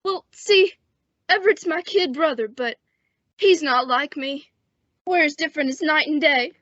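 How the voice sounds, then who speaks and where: A wounded western lawman, played by a young woman, speaks in a high, strained voice. He is resigned and folksy, in a radio play with a dry, dulled sound. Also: measured pace, fairly bright tone, no room sound. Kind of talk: old-time western radio drama acting. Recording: dull, dry lo-fi radio recording.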